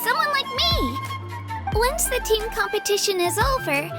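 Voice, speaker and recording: A woman's voice: extremely high pitch, very animated, a little slow, full character voice. A woman voicing an earnest, humble young girl warrior in a video game, girlish and bubbly but hesitant. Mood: explaining, earnest. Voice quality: girlish, youthful. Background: background music.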